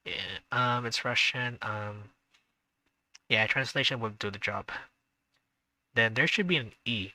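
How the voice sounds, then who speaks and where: A man's voice: medium pitch, a little slow, pauses often, bright, forceful tone, no echo. A man streaming a visual novel, reading the narration aloud and adding chatty, hesitant asides. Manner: chatty asides, hesitant with fillers when unsure. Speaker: gaming streamer.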